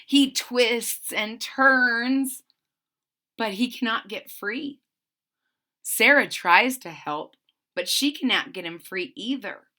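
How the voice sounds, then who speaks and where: Female storybook reader: slow, bright, lively intonation, expressive read-aloud for young children. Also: a little high. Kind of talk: expressive read-aloud narration with retelling asides.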